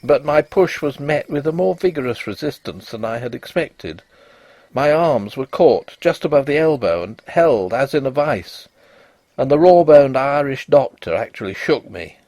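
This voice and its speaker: A man reading a ghost story in a theatrical, suspenseful way, with deliberate phrasing and a husky voice. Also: mid-range voice, animated, darkish tone, no room sound. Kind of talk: dramatic first-person ghost story narration.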